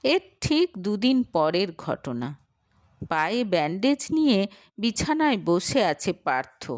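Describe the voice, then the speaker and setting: Lady telling an audio story, takes it slow, really close dry sound. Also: medium pitch, big pitch swings, dry recording. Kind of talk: scripted dramatic storytelling narration.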